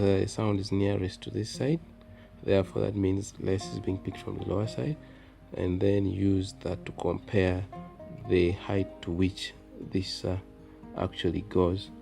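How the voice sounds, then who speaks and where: A man presenting an unscripted repair demonstration, talking in halting, piecemeal phrases as he works. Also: deep voice, vocal fry, dark tone. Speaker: phone repair tutorial presenter. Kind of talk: unscripted hands-on demonstration narration.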